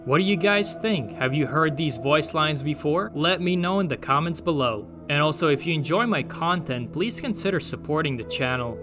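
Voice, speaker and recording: A man's voice: fairly high pitch, animated, moderate pace, few pauses. A man reading scripted narration as the narrator of a gaming channel. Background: faint music.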